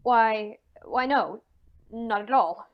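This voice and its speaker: A woman playing an anxious, insistent man in old-time radio drama, halting and pleading as the character. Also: fairly high pitch, dull audio. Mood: flustered. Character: anxious, insistent man defending his wife.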